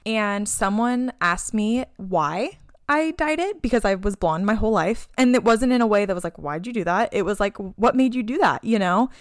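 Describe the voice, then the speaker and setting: A woman's voice: medium pitch, animated, moderate pace, no room sound, studio quality. A woman in her mid twenties co-hosting a podcast, chatting in an upbeat way with lots of "like" fillers. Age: 20s. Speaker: podcast co-host. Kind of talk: casual chat.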